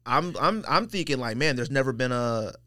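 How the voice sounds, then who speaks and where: A man in casual podcast conversation with a very lively, swinging delivery. His wording is informal and slangy, and the sound is dry with little room. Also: mid-range voice, normal speed, no room sound. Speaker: podcast host. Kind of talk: casual sneaker chat.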